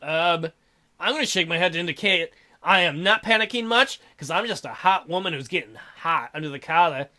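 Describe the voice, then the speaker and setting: A man's voice: fairly high pitch, a little fast, bright, forceful tone. A man reading visual novel text aloud as a let's play host, with irreverent, sarcastic asides. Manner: irreverent, crude, sarcastic commentary.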